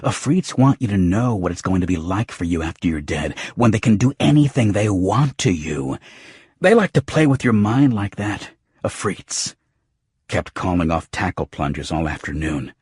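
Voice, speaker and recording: A male narrator acting out a bitter old-football-player cabbie in a noir audiobook. The voice is low and husky, muttering and rambling through crude complaints. The sound is close and dry. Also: very animated, a little slow, no room sound, exaggerated voice. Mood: haunted rambling. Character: rambling bitter cab driver, a grunting ex-football man.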